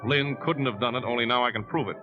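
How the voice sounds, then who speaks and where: A man's voice: medium pitch, quick pace, close to an everyday voice. An actor playing a troubled, earnest man who also narrates in a 1950s radio drama. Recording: dull vintage radio recording with music.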